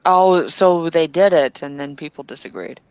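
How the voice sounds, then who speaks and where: A woman's voice: sits low, normal speed, a little mellow, smooth, dry recording, lo-fi sound, natural voice. A woman playing a blunt, impatient woman in an in-character tabletop roleplay, speaking in hesitant bursts that turn opinionated. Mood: questioning.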